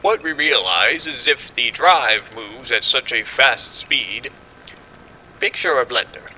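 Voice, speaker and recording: A man playing a confident scientist in an audio drama, explaining in a measured way. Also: mid-range voice, animated, sharp tone, slight background noise, full character voice, rough recording. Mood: assured, explanatory. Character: confident male scientist running a secret military experiment.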